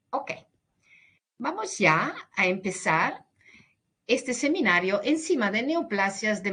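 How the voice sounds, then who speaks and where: A woman's voice: mid-range voice, a bit bright. A woman giving a veterinary webinar lecture over a video call, speaking hesitantly and restarting words. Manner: hesitant, restarts words, mixes in English and Portuguese.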